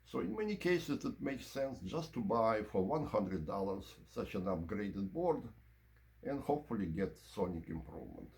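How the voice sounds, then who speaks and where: Guy reviewing gear, lowish voice, goes up and down a lot, explains stuff in short chunks. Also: sing-song, moderate pace, creaky, darkish tone. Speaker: presenter of audio equipment review. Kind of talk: informal product review and demonstration to camera.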